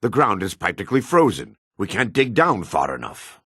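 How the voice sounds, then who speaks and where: An actor playing a solemn, world-weary dark elf man in a fantasy video game, with a raspy, measured, theatrical delivery. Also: on the low side, very animated, no room sound, studio quality, heavily acted. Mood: sardonic.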